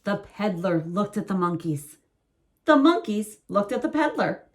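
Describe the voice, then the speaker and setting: A woman reading a picture book aloud as a bedtime story, in a slow, soothing, expressive way. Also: medium pitch, a little hiss or hum.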